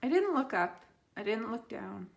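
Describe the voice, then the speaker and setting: A woman, a teacher, reading a children's picture book aloud with expressive, deliberate phrasing and dramatic pauses. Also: on the high side, vocal fry, a little hiss or hum.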